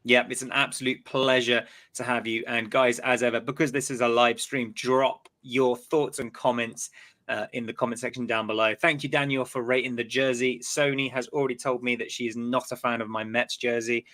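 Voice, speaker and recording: A man's voice: medium pitch, little pitch movement, normal speed, bright, forceful tone. A man hosting a live-stream fan podcast, chatty and loose, often repeating words as he goes. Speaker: podcast host. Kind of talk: casual live-stream chat.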